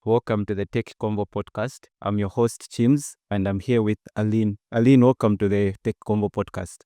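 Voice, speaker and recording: Male podcast host, low smooth voice, relaxed and affirming, dry close mic. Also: moderate pace, no echo. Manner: relaxed, affirming, short "yeah" responses. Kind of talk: casual podcast interview.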